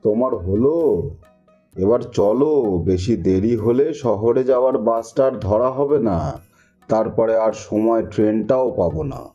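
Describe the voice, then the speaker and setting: An impatient village father from a cartoon , played by a man. His voice is very dark and mellow, of middling pitch, as he urges people along. The sound is dull, with faint music behind. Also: a little slow, natural voice. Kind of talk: cartoon voice acting.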